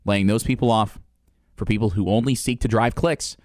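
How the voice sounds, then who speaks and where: A man delivers an opinionated sports talk monologue in a fairly low voice. He argues emphatically, leaning on repeated phrases, and the sound is dry and close to the mic. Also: normal speed, a little mellow, no echo.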